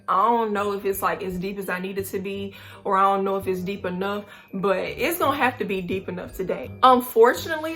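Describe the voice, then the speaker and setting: A young woman talks straight to her viewers in a slangy, lively vlog. Her voice is middle in pitch and has a bright, forceful edge. Faint music plays softly under her voice. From Mississippi. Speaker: YouTuber. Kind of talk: casual vlog chat. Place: indoors at a relative's house.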